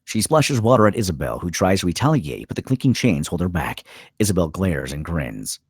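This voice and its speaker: A man's voice: deep voice, very animated, fairly quick, darkish tone, husky, dry recording, studio quality. A man reading stage directions as the narrator of a screenplay table read.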